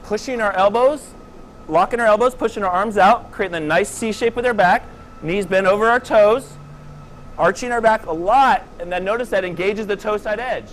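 A man in his thirties coaching beginners through snowboard moves in short, calm cues. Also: sits high, normal speed, slight background noise, poor audio. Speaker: snowboard instructor. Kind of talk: step-by-step instructional demonstration. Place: indoor training slope under a roof.

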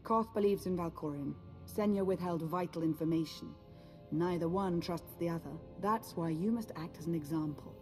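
A woman playing a composed, earnest resistance leader in a video game, with a calm, authoritative manner. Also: on the low side, normal speed, lightly acted. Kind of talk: video game dialogue acting. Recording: music under the dialogue.

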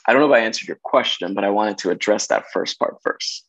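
A man's voice: lowish voice, quick pace, slightly rough, no room sound, low-quality recording. A man in his thirties from Los Angeles, a casting director talking reflectively on a video call from his home kitchen, gesturing as he checks in with "right?". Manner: reflective, animated hand gestures, frequent "right?" tags. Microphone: video call, laptop camera.

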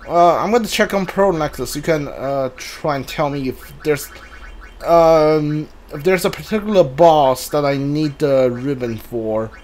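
A man's voice: a little high, unhurried, a little mellow. A man giving casual live playthrough commentary, rambling hesitantly as he thinks aloud. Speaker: game streamer. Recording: faint background noise from game ambience. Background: video game ambience.

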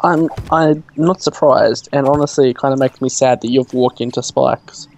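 A young man with an Australian accent, casually chatting and laughing as he plays a survival game with a friend. He talks in a relaxed way at an ordinary pitch and trades jokes with his partner. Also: moderate pace, creaky, slight background noise. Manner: joking, laughs often. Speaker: gamer. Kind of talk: casual co-op gaming chat.

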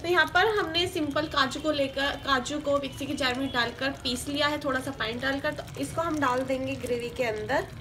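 A woman hosting a cooking channel, talking through a recipe one step at a time in a steady, teaching manner and repeating each step. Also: high voice, brisk, few pauses, background noise. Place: home kitchen. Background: sizzling and stirring in a pan.

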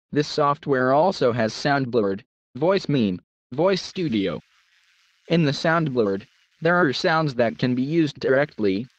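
A man presents a software walkthrough in scripted narration. His voice sits at an ordinary middle pitch, recorded very dry and close. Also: no echo, muffled recording. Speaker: product presenter.

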